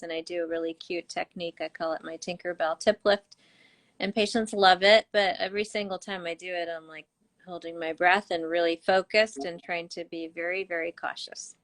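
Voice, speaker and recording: A woman's voice: on the low side, a bit bright. A woman, a doctor hosting a live online interview over a video call, bubbly and enthusiastic. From United States. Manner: bubbly, enthusiastic, laughs often. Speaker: host doctor.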